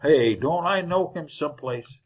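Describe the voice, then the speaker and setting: An actor playing a garrulous, folksy wagon driver in a radio western, with an American Western accent and colorful rustic slang in a husky voice. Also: male voice, fairly high pitch, lively intonation, measured pace. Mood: curious. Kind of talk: old-time radio western acting.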